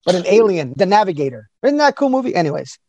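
Chatty forties male host, upbeat and quick, dry close sound. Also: high-pitched, big pitch swings, dark tone, no room sound, lo-fi sound. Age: 40s. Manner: enthusiastic, chatty, quick-talking. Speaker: podcast host. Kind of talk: casual interview chat.

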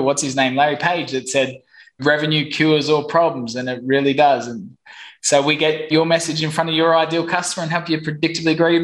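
A man's voice: fairly high pitch, fairly bright tone, low-quality recording. A man from Australia with an Australian accent, answering interview questions about his online marketing business in an upbeat, promotional way. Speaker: guest, online marketing entrepreneur.

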